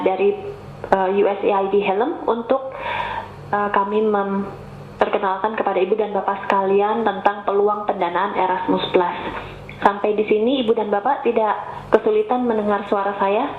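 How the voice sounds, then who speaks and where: A woman's voice: medium pitch, slight background noise. Woman with a cough on a tinny call. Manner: patient, explanatory, addresses audience politely. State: has a cough. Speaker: presenter. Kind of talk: webinar presentation. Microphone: remote call mic. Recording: tinny, echoey online call audio.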